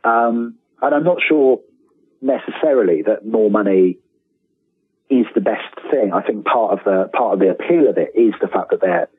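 A man speaking as an interview guest, telling anecdotes in an enthusiastic, halting way, often repeating the starts of his phrases. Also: on the low side, slightly rough. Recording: thin phone-like line with room echo.

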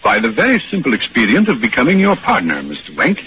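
An actor playing a smooth-talking con artist posing as a genteel professor, wordy and calculating, in 1940s radio drama style. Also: male voice, mid-range voice, huge pitch swings, fast, a little hiss or hum. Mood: slyly suave. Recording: muffled vintage 1940s radio broadcast, music.

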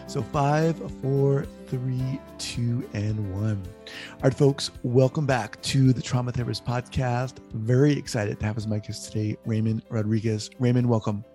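A man hosting an interview podcast, with an upbeat, energetic delivery when introducing guests. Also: mid-range voice, measured pace, faint music behind. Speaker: podcast host.

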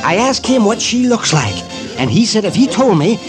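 Gossipy old frontier doctor, raised lively voice, wry, old radio with music. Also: male voice, high-pitched, moderate pace. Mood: chatty. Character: gossipy older male town doctor. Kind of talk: 1950s radio drama acting.

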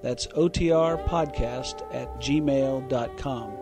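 A man's voice: mid-range voice, slow, music in the background. A man hosting a podcast and interviewing in a conversational style. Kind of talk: conversational radio-history interview.

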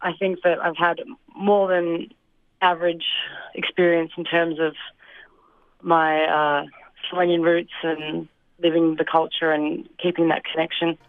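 A young woman from Australia answering interview questions in a thoughtful, hesitant way, with fillers and soft laughs. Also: lowish voice, flat delivery, a little slow, no echo. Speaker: interview guest. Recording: dry, phone-like line.

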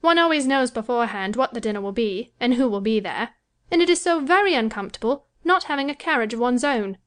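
Woman playing a high-pitched, querulous young gentlewoman. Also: animated, fast talker, smooth, lightly acted. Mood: peevish, self-important. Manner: complaining, self-important. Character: self-pitying, querulous young married gentlewoman, fancying herself ill. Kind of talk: dramatised classic novel reading.

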